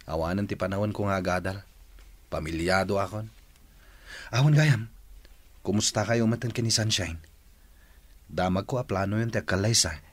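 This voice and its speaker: A man's voice: sits low, normal speed, pauses often, no echo. An actor playing a hearty, affectionate family man in a radio drama, with an effusive, exclaiming delivery. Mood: friendly, humble. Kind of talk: Filipino radio drama acting.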